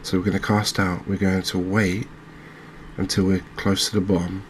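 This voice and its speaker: Deep-voiced man, quiet ASMR gaming commentary. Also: flat delivery, moderate pace, a little hiss or hum. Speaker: host of a gaming channel. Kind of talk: soft-spoken ASMR game commentary.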